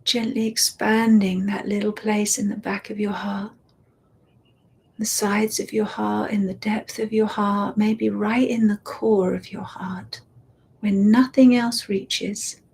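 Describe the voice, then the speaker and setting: Slow, husky-voiced woman guiding meditation. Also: medium pitch, level tone. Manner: slow, soft and soothing, drifting into whispers. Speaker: meditation guide. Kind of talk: guided meditation.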